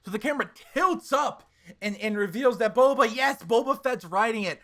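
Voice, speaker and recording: A man co-hosting a casual pop-culture podcast, talking in an excitable, hyperbolic fan style with plenty of swearing. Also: very high voice, moderate pace. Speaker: podcast co-host.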